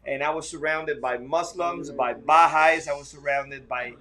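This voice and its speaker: A man's voice: on the high side, steady tone, measured pace, bright, forceful tone. A man from Costa Rica, a ministry director, talking earnestly and upbeat in a casual livestream chat from a hotel. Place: hotel room.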